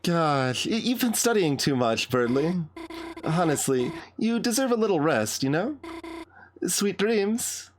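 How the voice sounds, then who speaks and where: A man voicing a timid, sweet teenage girl from a video game, flustered and stammering gently. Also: a little high, very animated, measured pace. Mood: nervous, tender. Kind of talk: game dialogue read aloud.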